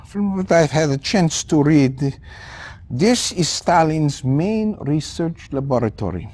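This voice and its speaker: An actor playing an excitable, distracted elderly male scientist who talks in halting, rambling bursts in a video game cutscene. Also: on the high side. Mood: excited.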